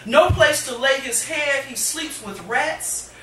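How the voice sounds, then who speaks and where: A middle-aged woman reading poetry live, with deliberate, dramatic phrasing and pauses. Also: high-pitched, far from the mic. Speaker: poet, featured reader. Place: indoor cafe reading. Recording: noisy, echoey room recorded from afar.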